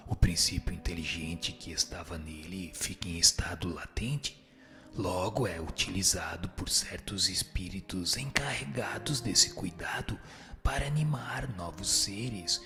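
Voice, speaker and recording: A man playing a solemn male spirit who answers questions in a formal, measured, didactic way for a scripted radio drama. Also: deep voice.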